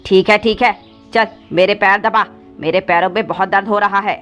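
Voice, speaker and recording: A woman's voice: mid-range voice, fairly even intonation, moderate pace, fairly bright tone, natural voice. A woman voicing a cruel, scheming male crow stepfather with a sly, scolding manner in a cartoon moral story. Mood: threatening. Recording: slightly dull audio with background music.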